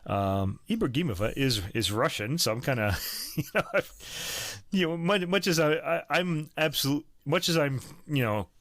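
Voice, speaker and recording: A man's voice: a little high, lively intonation, moderate pace, fairly bright tone, no room sound. A man hosting a podcast, chatting casually about classical recordings, rambling with many fillers and restarts. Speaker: podcast host.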